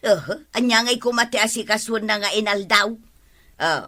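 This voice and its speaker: A woman's voice: mid-range voice, moderate pace, bright, forceful tone, natural voice. A woman playing a nagging wife in a radio comedy drama, scolding and questioning.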